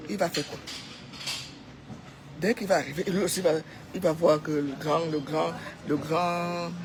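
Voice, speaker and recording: A woman's voice: low-pitched, fairly even intonation, measured pace, crowd noise. A woman with a Cameroonian accent giving an informal, opinionated monologue to followers, exhorting them and repeating phrases for emphasis. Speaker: social media commentator.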